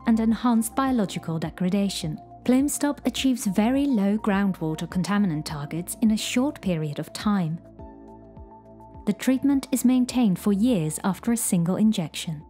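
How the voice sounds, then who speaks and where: A woman reads a scripted explainer in a measured, clear way. Her voice sits in a mellow, darker register at a middle pitch. A soft music bed plays faintly behind the studio voice-over. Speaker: corporate video narrator. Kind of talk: scripted narration.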